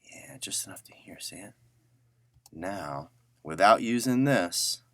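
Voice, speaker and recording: Man, very low voice, slow pace, casual software tutorial, muttering as he works. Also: lively intonation, dry recording, clean studio sound. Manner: informal, muttering to himself while working. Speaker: audio editing instructor.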